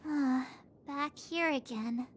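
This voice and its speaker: A woman's voice: high voice, slow, a little hiss or hum. A woman voicing a young female adventurer companion in a video game. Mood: curious.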